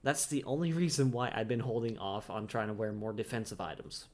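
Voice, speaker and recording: A man's voice: on the low side, expressive, a little fast, darkish tone, a little hiss or hum. A man giving casual, rambling livestream commentary over a game in a playful, chatty way. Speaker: game streamer.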